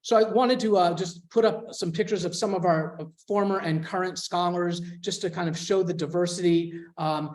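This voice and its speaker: A man from a scholarship foundation presenting at an online info session in a measured way, with some fillers. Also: high voice, steady tone, a little mellow.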